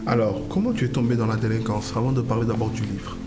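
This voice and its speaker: Man hosting over loud music. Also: lowish voice, steady tone, fast talker. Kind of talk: interview.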